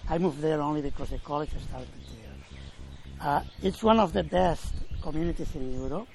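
A man from Spain, answering audience questions in an informal presentation, often pausing and correcting himself. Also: a little high, vocal fry, a little mellow. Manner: halting, self-correcting, explanatory. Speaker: college representative.

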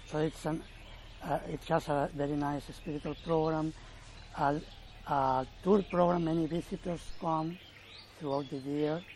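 A man with a slightly higher voice speaks in halting, self-correcting explanations, answering questions at an informal gathering. He talks outdoors, with a faint hum of ambient noise behind him. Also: steady tone, darkish tone. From Spain. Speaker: college representative. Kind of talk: informal Q&A presentation.

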